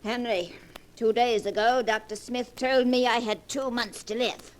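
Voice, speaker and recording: A woman's voice: fairly high pitch, steady tone, a little slow, lightly acted. An actress playing a rich, dying old woman, dry, blunt and weary, in 1940s radio style. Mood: tart, weary. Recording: noisy vintage radio recording.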